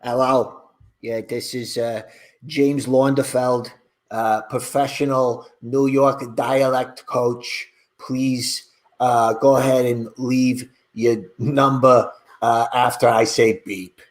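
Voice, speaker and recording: A man playing a hesitant, apologetic New York dialect coach who talks haltingly and repeats himself in improvised comedy. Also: mid-range voice, flat delivery, voice pushed down, lightly acted. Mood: deadpan, sheepish.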